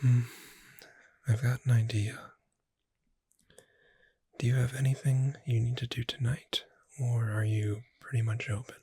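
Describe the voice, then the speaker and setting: A performer voicing a friendly witch bookseller in a soft-spoken ASMR roleplay, gentle, unhurried and lightly wry in a breathy near-whisper. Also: medium pitch, level tone, no echo. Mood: gentle, persuasive.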